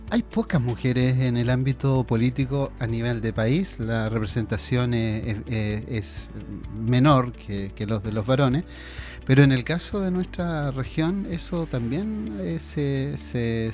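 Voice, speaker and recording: A man hosting a local radio interview, speaking in a hesitant way with many pauses and restarts. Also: mid-range voice, darkish tone, soft background music. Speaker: radio host. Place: radio studio.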